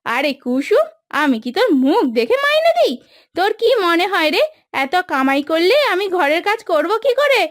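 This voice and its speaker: A woman's voice: very high voice, sing-song, moderate pace. A woman playing a haughty, demanding mistress of the house in a cartoon moral story, bossy in manner. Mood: scolding. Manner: sarcastic, bossy, scolding. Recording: dry studio voice over background music.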